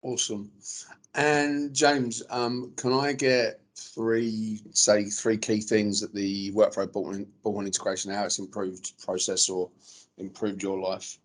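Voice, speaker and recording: A man's voice: on the low side, level tone, a little slow. Casual man hosting a webinar. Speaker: webinar host, presenter. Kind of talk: informal webinar presenting.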